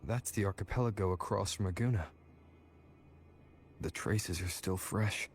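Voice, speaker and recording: An actor playing a calm, serious young male adventurer in a video game, restrained and to the point. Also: sits low, measured pace, a little hiss or hum.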